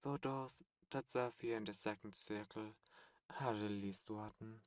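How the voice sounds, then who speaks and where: A man voicing a suave, teasing male demon prince in an ASMR audio roleplay, soft-spoken and playfully menacing. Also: lowish voice, fairly even intonation, unhurried, no echo, natural voice. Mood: silky teasing.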